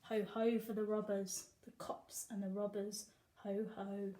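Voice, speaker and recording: A woman teacher reading a picture book aloud to young children, with animated, sing-song emphasis. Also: mid-range voice, measured pace. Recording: echoey room.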